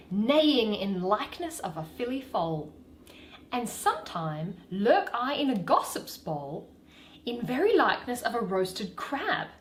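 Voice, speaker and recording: A woman performing a mischievous, boastful male sprite in theatrical, drawn-out Shakespearean verse. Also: fairly high pitch, slight background noise, pitched above the performer's own voice.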